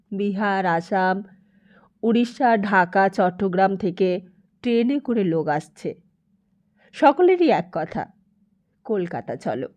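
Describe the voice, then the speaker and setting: A woman narrating a literary story as an audiobook, in an expressive, emphatic, dramatic way. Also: mid-range voice.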